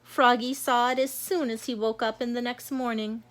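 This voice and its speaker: Woman, high voice, children's read-aloud, storybook narration. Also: steady tone, moderate pace, fairly bright tone. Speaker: storybook reader.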